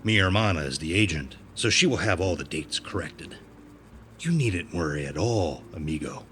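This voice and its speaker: A man's voice: low-pitched, expressive, normal speed, a bit bright, a little hiss or hum, voice pushed down. A man voicing a menacing criminal in an audio horror story, coldly polite and formal. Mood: smoothly reassuring. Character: menacing, smooth-talking Mexican criminal enforcer, grown man.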